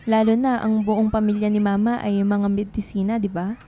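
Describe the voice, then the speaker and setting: A woman's voice: mid-range voice, flat delivery, normal speed, warm tone, slight background noise, close to an everyday voice. A woman playing a secretive, melancholy young student writer in radio drama dialogue. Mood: guarded.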